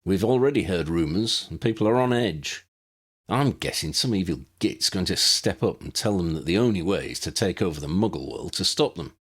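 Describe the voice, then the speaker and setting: A man reads as a young wizard officer in an audiobook. He is blunt and accusing, plain-spoken, with a low husky voice. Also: lively intonation, moderate pace. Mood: blunt, suspicious.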